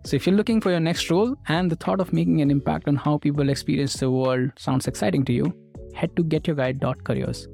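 Male podcast host, gravelly voice, polished scripted delivery, faint music behind. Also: on the high side, quick pace, a little mellow. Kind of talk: scripted podcast intro and interview questions.

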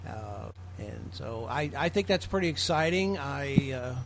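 A man's voice: mid-range voice, expressive, measured pace, a crowd in the background. An American man giving an informal, rambling explanatory talk to a group as a presenter in an online meeting. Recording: clearly noisy online meeting audio.

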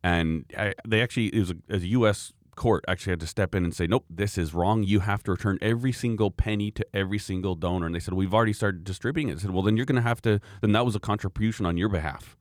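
Deep-voiced man chatting excitedly. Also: fast, hardly any pauses, dry recording, studio quality. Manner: animated, rambling storyteller, frequent laughs. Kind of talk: casual chat.

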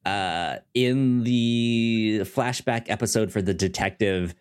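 A man co-hosting a casual podcast, speaking haltingly and repeating words and syllables as he goes. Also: fairly low pitch, smooth. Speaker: podcast co-host.

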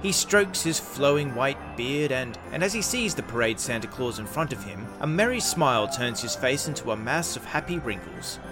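A man narrates as host of a radio drama, reading scripted lines like a storyteller. His mid-pitched voice sits over clearly audible music. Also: animated, moderate pace, hardly any pauses.

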